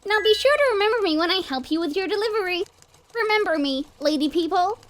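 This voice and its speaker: A woman's voice: extremely high pitch, animated, fast, heavy background noise. A woman voicing a chirpy, helpful, slightly odd animal villager in a cartoon parody dub. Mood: stilted, odd. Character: chirpy, helpful, oddball animal villager, aspiring clothing designer.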